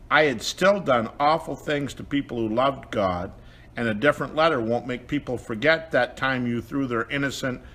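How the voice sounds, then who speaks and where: A man playing a repentant apostle in a children's puppet show, explaining things in an earnest, measured way. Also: mid-range voice, a bit bright.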